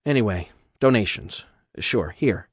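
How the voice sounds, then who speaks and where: A man's voice: fairly low pitch, animated, a little slow, slightly rough, no echo, studio quality. A man reading an audiobook voices a blunt, wry adult woman.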